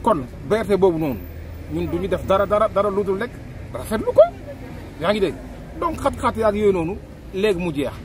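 A middle-aged man with a Senegalese accent giving his opinions in a street interview, animated and talking with his hands, recorded close on a handheld mic outdoors by a busy road. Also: high voice, brisk. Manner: animated, gestures with hands, mixes two languages. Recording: street traffic noise behind.

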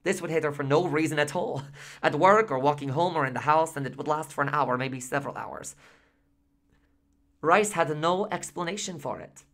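Radio drama, excitable, aggrieved husband: chatty, digressive, emphatic storyteller in monologue. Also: male voice, a little high, normal speed. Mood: eager, animated. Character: Frank Sweeney, talkative, excitable, aggrieved husband.

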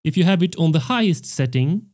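A young man hosting a music production tutorial, explaining step by step in a measured way into a close studio mic. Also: fairly high pitch, lively intonation, a little mellow. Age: young adult. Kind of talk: tutorial narration. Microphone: close studio microphone on a stand.

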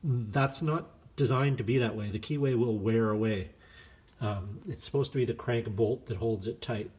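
A man's voice: medium pitch, moderate pace, a little mellow. A man with a scratchy voice, casually walking through a car repair in his garage, rambling with plenty of fillers as he handles the parts. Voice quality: scratchy voice from smoke. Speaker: home mechanic vlogger. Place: indoor garage workshop. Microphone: phone camera held while filming.

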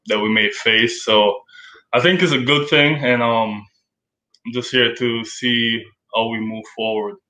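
A man's voice: mid-range voice, unhurried, echoey room, rough recording. A young man from Jamaica with a Jamaican accent, chatting casually as an interview guest and filling his talk with "like". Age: young adult. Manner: relaxed, lots of "like" fillers. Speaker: college basketball player, guest.

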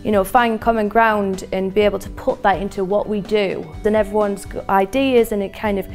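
A woman in her thirties speaks with an eager, chatty enthusiasm and drifts into creaky fry. She is recorded close on a lapel mic in a studio. Faint music plays underneath. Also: medium pitch, fairly even intonation, moderate pace, darkish tone. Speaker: essential skills teacher. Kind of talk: testimonial interview. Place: studio with dark backdrop.

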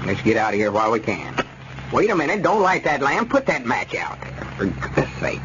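An actor playing an exasperated, scolding old small-town schemer with a rural Southern accent in a radio comedy. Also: male voice, mid-range voice, very animated, a little fast, natural voice. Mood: flustered urgency. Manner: urgent, scolding. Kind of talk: 1940s radio comedy acting. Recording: muffled, noisy old radio recording.

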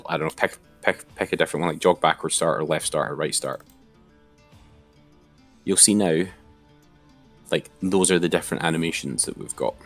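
A man giving an informal, step-by-step screen-share tutorial as an instructor. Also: deep voice.

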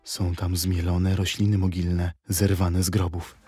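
Male narrator for poetic audio drama: husky, unhurried, measured recitation. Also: low voice, level tone, mellow, faint music behind. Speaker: narrator and reader in an audio play.

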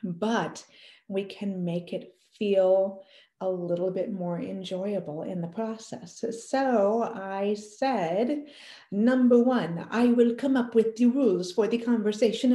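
A woman in her thirties telling a family story to camera in a casual, animated way. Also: medium pitch, a little slow, echoey room. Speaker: parenting educator. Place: small room indoors.

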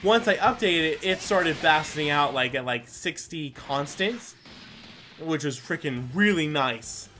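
A man's voice: fairly high pitch, lively intonation, unhurried, over music. A man giving casual, rambling gameplay commentary as the host, self-deprecating and given to mild swearing. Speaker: gaming video host.

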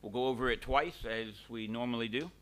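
A middle-aged man, a pastor, leading a church service from a lectern, praying and giving announcements in a relaxed, conversational way with many pauses and restarts. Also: medium pitch. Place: small church hall, at a lectern. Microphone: lectern microphone.

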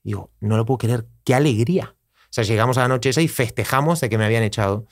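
A man in his thirties with an Argentine Spanish accent, a podcast guest telling his story in a casual, animated way. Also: medium pitch, fast talker. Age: 30s. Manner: animated storyteller, gestures while talking, acts out quoted dialogue. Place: small studio with acoustic panels. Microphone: dynamic mic on desk stand, headphones.

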